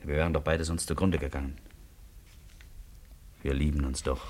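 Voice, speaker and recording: A husky, volatile, guilt-ridden husband. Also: male voice, rumbling low, steady tone, moderate pace. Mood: urgent pleading. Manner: intense, emotional, swinging from hushed to outbursts. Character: agitated newlywed husband, guilt-ridden murderer, desperate and domineering. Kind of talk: German radio play acting.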